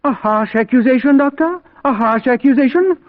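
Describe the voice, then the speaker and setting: High-pitched, wheedling madman, old radio. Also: lively intonation, normal speed. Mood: aggrieved. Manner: wheedling, evasive, dwelling on repeated words. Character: wheedling, high-voiced madman asylum patient, obsessed with feeding. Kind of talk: old-time radio drama acting. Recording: tinny, narrow-band old radio recording.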